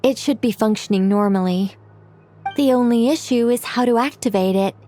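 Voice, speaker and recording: A woman playing a knowing, composed and analytical character in an anime game, explaining things in a measured way. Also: a little high, mellow, faint music behind, lightly acted. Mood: thoughtful. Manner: measured, explanatory, matter-of-fact. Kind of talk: anime game dub acting.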